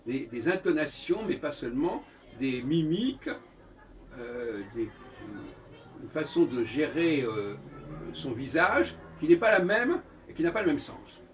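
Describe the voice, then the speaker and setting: A man gives an improvised, opinionated lecture, halting and correcting himself, repeating phrases for emphasis. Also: on the high side. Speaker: speaker giving a philosophical talk. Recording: distant microphone, noisy room ambience.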